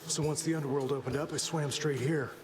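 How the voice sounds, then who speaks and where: A man's voice: a little high, fairly even intonation, fast talker, background noise, natural voice. A man playing a vain, aloof dead youth in a video game, offhand and languid. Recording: loud music behind.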